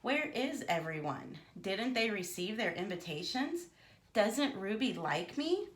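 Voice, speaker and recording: A woman's voice: medium pitch, sing-song, measured pace, echoey room. A woman voicing a vain, rude male storybook pig in a pompous, theatrical manner. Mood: hurt.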